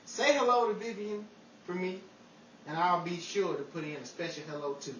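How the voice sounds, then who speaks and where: A man's voice: high-pitched, normal speed, fairly bright tone. A man hosting an old-time radio-style show, delivering scripted sign-offs. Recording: roomy, distant mic, faint noise.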